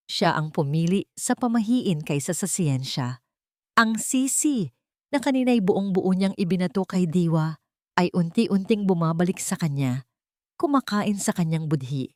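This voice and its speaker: Low-voiced woman narrating a drama, dry sound. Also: a little mellow, no echo. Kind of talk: dramatic scripted story narration.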